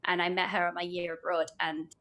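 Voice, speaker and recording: A woman's voice: fairly low pitch, completely flat delivery, brisk, pushed and bright. A young British woman with a British accent, a podcast guest chatting casually and rambling with giggles. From United Kingdom. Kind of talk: casual podcast chat, reading aloud.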